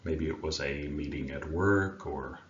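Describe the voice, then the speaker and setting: A man with a remarkably deep voice leads a guided meditation. He speaks slowly and soothingly, leaving long pauses between gentle phrases. The sound is roomy and a little muffled. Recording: roomy, dull sound with faint background noise.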